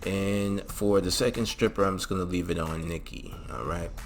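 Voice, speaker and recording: A man's voice: sits low, steady tone, moderate pace. A man narrating a casual step-by-step game tutorial, instructing and often checking in with the listener. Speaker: host of a game mod tutorial.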